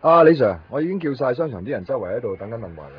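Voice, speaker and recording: An obliging Hong Kong police colleague, speaking Cantonese quickly with a husky edge. His intonation moves a lot. It sounds like a slightly muffled radio play. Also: medium pitch, lively intonation. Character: male police constable, brisk obliging colleague. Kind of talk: 1990s radio drama acting. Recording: dull lo-fi radio recording.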